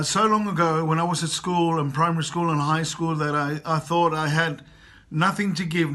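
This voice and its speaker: A man's voice: fairly high pitch, fairly bright tone. A man in his fifties from Chile, giving an earnest motivational talk and repeating phrases for emphasis. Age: 50s. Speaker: motivational coach. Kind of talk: personal motivational talk to camera. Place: travelling abroad.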